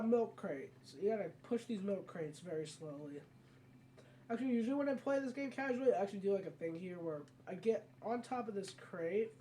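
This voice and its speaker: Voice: medium pitch, dark tone. A video game let's player giving casual, rambling commentary and often correcting themselves mid-sentence. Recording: mic at a distance, background noise.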